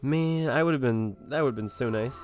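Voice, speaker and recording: A man's voice: mid-range voice, normal speed. A man streaming a video game with casual, chatty commentary.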